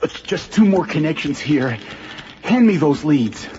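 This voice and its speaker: An actor playing a nervous, eager young technician in a late-1970s science fiction radio drama, anxious and earnest. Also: male voice, fairly high pitch, sing-song, unhurried, background noise. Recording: muffled vintage radio recording, loud music bed.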